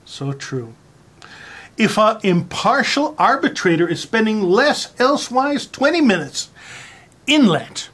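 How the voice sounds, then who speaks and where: A person giving a mock-dramatic reading with theatrical, exaggerated emphasis and dramatic pauses. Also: medium pitch, fairly bright tone.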